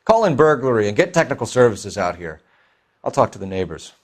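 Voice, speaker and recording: A man's voice: medium pitch, very animated, brisk, close to an everyday voice. An American man playing an earnest, methodical 1940s police detective in a crime game, clipped and formal. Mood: focused, muttering. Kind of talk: period crime game acting.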